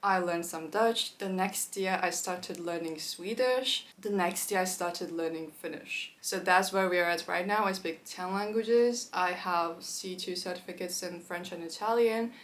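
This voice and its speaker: Turkish girl, early twenties, chatting to her vlog camera, slightly low voice, lots of "like". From Turkey. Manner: chatty, quick asides, frequent "like" fillers. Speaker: YouTuber. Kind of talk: casual talk to camera.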